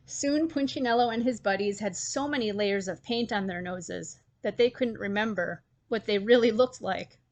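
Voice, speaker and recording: A woman storyteller giving an expressive reading of a children's story. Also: medium pitch, moderate pace, few pauses, no room sound.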